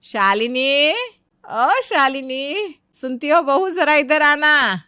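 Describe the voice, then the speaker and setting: A woman's voice: sits high, animated, takes it slowly, bright, forceful tone, no echo, studio quality, exaggerated voice. An actress playing an exasperated middle-aged mother-in-law, sarcastic and nagging, in a comic family story. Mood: calling out. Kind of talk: animated family comedy story acting.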